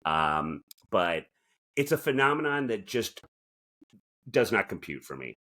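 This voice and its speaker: Man, middle-aged, animated intonation, podcast co-host, casual comedic chat, rambling, halting, jokey. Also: fairly low pitch. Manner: rambling, joking, halting asides.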